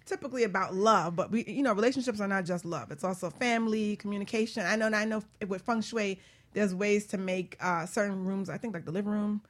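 A woman's voice: medium pitch, fast talker. A woman hosting a talk show in a casual, informal way, often chiming in with short reactions. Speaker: radio show host.

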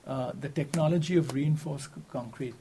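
A man's voice: fairly high pitch, moderate pace, dark tone, a little hiss or hum. A man in a casual academic discussion, explaining at length with many fillers and restarts. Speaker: faculty researcher.

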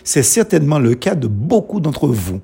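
Husky-voiced male preacher, lively pitch. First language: Creole. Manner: measured, emphatic delivery with short phrases. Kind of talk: sermon.

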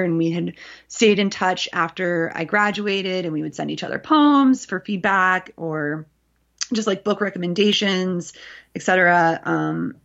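A woman's voice: on the low side, gravelly, dull audio. A woman in her thirties co-hosting a podcast in casual chat, talking freely with many fillers and restarts. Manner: chatty, lots of fillers and restarts. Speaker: podcast co-host and journal editor.

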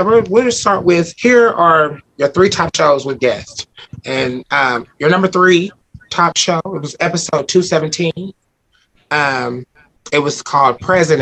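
A man co-hosting a podcast, introducing a segment in a relaxed, hesitant way with frequent ums. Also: mid-range voice, low-quality recording. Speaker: podcast co-host. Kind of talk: casual chat.

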